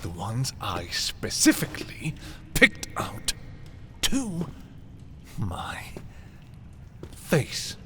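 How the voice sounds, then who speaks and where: A man playing an arrogant, possessive young prince in a dark fantasy audio roleplay, haughty and theatrical in his delivery. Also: medium pitch, huge pitch swings, very slow, pauses often, in a whisper, heavily acted. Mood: indignant. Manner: haughty, dramatic, swinging from hushed to exclaiming. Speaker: voice performer. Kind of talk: dramatic roleplay audio, ASMR fantasy monologue.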